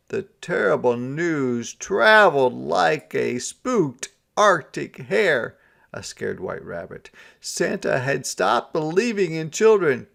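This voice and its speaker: A man reading a children's storybook aloud as a teacher, deliberately explaining words and events as he goes. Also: mid-range voice, vocal fry, fairly bright tone.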